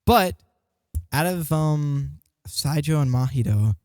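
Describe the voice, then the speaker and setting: A man's voice: a little high, expressive, slow, darkish tone, no room sound, studio quality. A man chatting casually as a podcast panelist, giving opinions in informal, slangy speech with hesitant phrasing. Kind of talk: casual chat, opinionated commentary on a competition.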